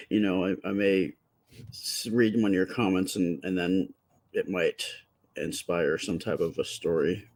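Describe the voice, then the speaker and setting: Man, very low voice, quiet and soft, halting casual chat, close mic, live-stream drawing. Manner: rambling, halting, with many fillers and restarts. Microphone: close to the microphone.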